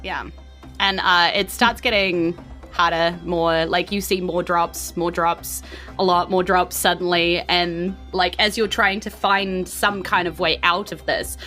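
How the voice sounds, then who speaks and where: A woman's voice: on the low side, steady tone, measured pace, pushed and bright, soft background music. A woman with a New Zealand accent running a tabletop game, improvising narration in a loose, conversational way. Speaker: game master.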